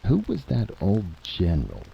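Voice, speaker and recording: A troubled, disbelieving president, voiced by a man reading a drama, speaks in a low, gravelly voice that moves with expression. He questions and hesitates, on a muffled, noisy recording. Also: huge pitch swings, normal speed, heavily acted. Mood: troubled disbelief. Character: troubled, incredulous male American president. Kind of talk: dramatic audiobook-style reading. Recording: dull lo-fi recording with noise.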